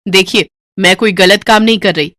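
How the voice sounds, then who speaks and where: A woman voicing a sharp-tongued, defensive wife in a cartoon story, brazen and sarcastic with dramatic retorts. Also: medium pitch, steady tone, moderate pace, no echo, studio quality, natural voice. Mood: indignant, taunting. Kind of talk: Hindi cartoon story dialogue.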